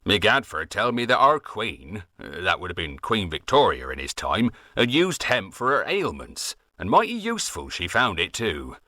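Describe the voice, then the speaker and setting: A man's voice: fairly low pitch, huge pitch swings, moderate pace, few pauses, bright, forceful tone, no echo, studio quality, heavily acted. A man voicing an old West Country farmer who rambles on in folksy dialect with a West Country accent, grumbling at red tape. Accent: West Country English. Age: older.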